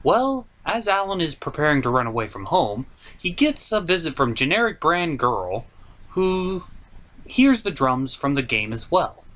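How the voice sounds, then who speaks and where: An animated, sarcastic host giving a comedic movie recap as commentary. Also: medium pitch, unhurried. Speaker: comedic film reviewer and host.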